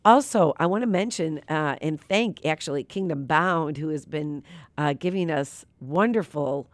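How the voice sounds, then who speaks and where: A radio host speaks through a nasal, sleepy-sounding voice at a middling pitch, earnest and meandering, with plenty of fillers. The studio sound is close and dry, without room echo. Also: a little slow. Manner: earnest, rambling, with many fillers. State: tired from little sleep. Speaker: radio talk show host.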